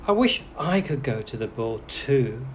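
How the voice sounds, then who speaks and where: A meek young fairy-tale heroine, played by a man, gentle and wistful. The storybook voice is hesitant, with clear noise in the background. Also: mid-range voice, big pitch swings, measured pace.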